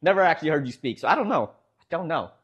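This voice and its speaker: A man's voice: a little high, very animated, racing, a bit bright, slightly rough, lo-fi sound. A man with a Midwestern American accent streaming from home, rambling casually to his chat and laughing often.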